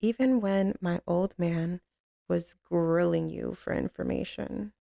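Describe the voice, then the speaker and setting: Low, slow, gravelly mafia queen played by a woman. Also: fairly even intonation, mellow, no room sound, clean studio sound, exaggerated voice. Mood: affectionate, reminiscing. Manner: drawling, teasing, streetwise, with pet names. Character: tough, commanding female mafia boss, tender with her boyfriend. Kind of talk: slow, intimate ASMR roleplay.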